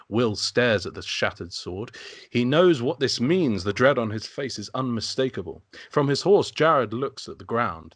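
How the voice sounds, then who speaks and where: A man's voice: fairly low pitch, a bit bright, no echo, studio quality. A man narrating stage directions from a script in an amateur table read.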